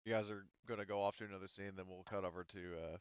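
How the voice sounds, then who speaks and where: A man runs a tabletop game in a casual, conversational way. His voice is on the low side and clean, with a dry, slightly muffled lo-fi sound. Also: flat delivery, very fast, smooth, no echo. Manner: informal, conversational. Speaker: game master.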